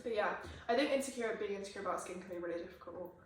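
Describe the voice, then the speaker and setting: A young woman talks quickly through a casual podcast chat and drops swear words without effort. She sits far from the mic in a very echoey room, and her words run together fast. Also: medium pitch. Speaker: podcast co-host.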